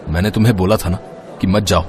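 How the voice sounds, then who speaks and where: Low-voiced placating family man, acted by a man. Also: fairly even intonation, quick pace, slight background noise, natural voice. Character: calm, protective family man in a household drama. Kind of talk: Hindi cartoon family drama acting.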